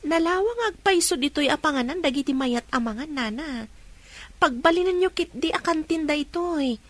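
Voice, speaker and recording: A woman's voice: high voice, lively intonation, moderate pace. An actress playing an outspoken, eager young woman in a radio drama, with a Filipino Ilocano accent. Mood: friendly.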